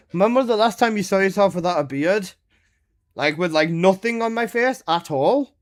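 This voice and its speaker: A man in his early twenties chats live with his stream audience while he plays. His talk is casual, teasing and full of swearing and quick laughs. His voice sits slightly on the high side for a man. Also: animated. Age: 20s. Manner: joking, swearing banter with frequent laughs. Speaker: game streamer.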